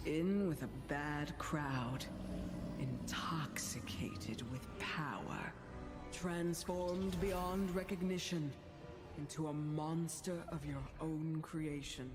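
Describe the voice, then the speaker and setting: A woman playing a mysterious, wry storyteller in a video game, narrating cautionary fables in a measured, theatrical way. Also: sits low. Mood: ominous, wry. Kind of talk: dramatic scripted game narration.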